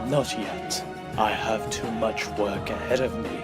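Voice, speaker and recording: A man playing a menacing supervillain who calls himself a master of fear, speaking in slow, theatrical, hushed menace in an audio drama. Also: fairly low pitch, fairly even intonation, music in the background, voice pushed down, lightly acted. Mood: sinister calm.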